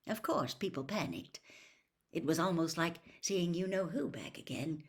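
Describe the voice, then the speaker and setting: A woman narrating a novel aloud in an expressive storytelling style. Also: lowish voice, normal speed. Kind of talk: expressive scripted novel reading.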